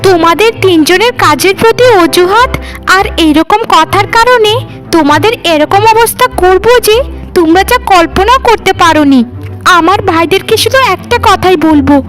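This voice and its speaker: A woman's voice: very high-pitched, expressive, moderate pace, fairly bright tone, poor audio. A woman voicing an arrogant, spoiled young sister-in-law in a cartoon, scolding and threatening, with music behind her. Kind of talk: cartoon voice acting.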